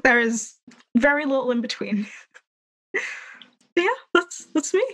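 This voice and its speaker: A young woman hosts a casual podcast chat over a video call. Her voice sits a bit high, and she talks in bubbly, laughing, stop-start bursts. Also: lively intonation, unhurried, fairly bright tone. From Trinidad. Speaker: podcast host.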